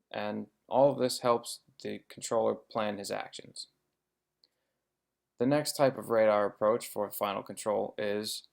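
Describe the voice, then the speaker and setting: A man's voice: lowish voice, creaky. A man giving an informative slide presentation as an air traffic controller, explaining things plainly with touches of dry humour.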